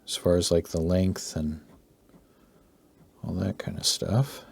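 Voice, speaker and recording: Low, slow-talking man, slightly hoarse. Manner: relaxed, rambling, with fillers. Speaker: art tutorial host. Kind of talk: casual narrated drawing tutorial.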